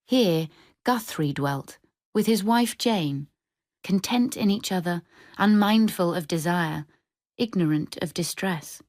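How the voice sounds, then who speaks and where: A woman reading literary fiction for radio in a measured, descriptive storytelling manner. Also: on the low side, darkish tone, dry recording, studio quality. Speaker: short story reader. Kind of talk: scripted literary narration for radio drama.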